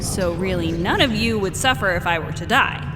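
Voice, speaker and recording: A woman's voice: mid-range voice, animated, moderate pace, close to an everyday voice. A woman playing a sassy, indignant, argumentative captive in a comedic audio drama. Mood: sassy, arguing. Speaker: abducted show host in audio play. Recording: long hall reverb with music behind.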